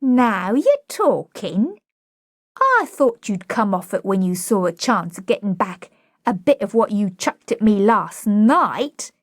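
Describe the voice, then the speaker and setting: Proud cockney flower girl, high n whiny, really indignant, swoopy, stage play style. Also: sing-song, slow pace, no echo, clean studio sound, exaggerated voice. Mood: triumphant, confiding. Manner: whining, saucy, ungrammatical.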